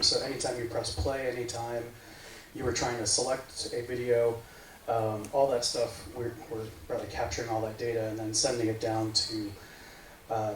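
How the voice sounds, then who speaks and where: A man in his forties from the United States gives a casual tech talk to a meetup crowd, wandering through his points with lots of "right, like" and "um". He sounds far from the mic in an echoey room, with a faint hiss behind him. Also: mid-range voice. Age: 40s. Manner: rambling, filler-heavy, says "right, like" often. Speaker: meetup speaker. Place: meeting room.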